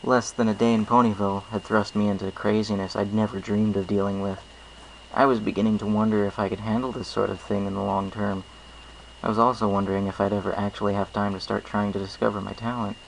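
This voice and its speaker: A man's voice: on the low side, little pitch movement, quick pace, vocal fry, a little hiss or hum, rough recording. A man reading a first-person story aloud as its narrator. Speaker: amateur story reader.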